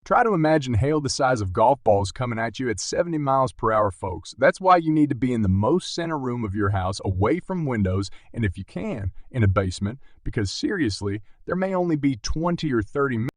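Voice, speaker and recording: A man's voice: medium pitch, very animated, a little fast, hardly any pauses, no room sound, clean studio sound. A man playing an earnest, urgent TV meteorologist giving live severe weather coverage, emphatic and speaking straight to viewers. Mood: urgent warning. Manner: emphatic, addresses viewers directly. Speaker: broadcast meteorologist.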